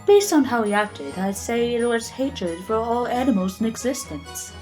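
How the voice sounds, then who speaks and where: An actress playing a somber, judging witness giving testimony in a courtroom audio drama. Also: female voice, a little high, lively intonation, normal speed, a little mellow, soft background music, lightly acted.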